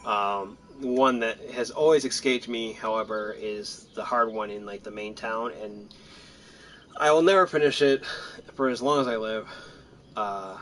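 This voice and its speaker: A man's voice: lowish voice, unhurried, a bit bright, slight background noise. A man giving casual, rambling, self-deprecating commentary while playing a video game. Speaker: gaming streamer. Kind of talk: casual let's-play commentary.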